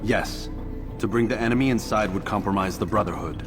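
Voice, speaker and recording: An actor playing a proud, curt, impatient assassin in a video game cutscene, speaking in a terse, deadpan, self-assured way. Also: male voice, fairly low pitch, fairly quick, music in the background, natural voice. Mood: curt, businesslike.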